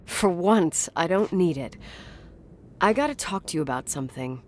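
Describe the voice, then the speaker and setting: A woman's voice: on the low side, animated, moderate pace, a little mellow, a little hiss or hum, close to an everyday voice. Actress as a stubborn, inquisitive young woman. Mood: serious, confronting. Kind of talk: naturalistic video game dialogue.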